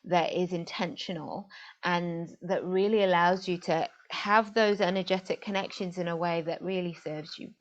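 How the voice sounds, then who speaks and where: A woman's voice: fairly low pitch, steady tone, moderate pace, muffled recording. A woman interview guest who is an interfaith minister, explaining in an earnest, emphatic way. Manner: earnest, emphatic, repeats for stress.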